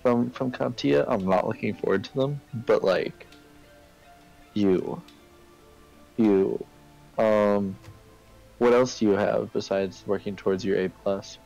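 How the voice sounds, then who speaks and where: A man chatting casually as he streams a game, halting and often repeating his words. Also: lowish voice, gravelly, a little mellow, rough recording. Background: video game music.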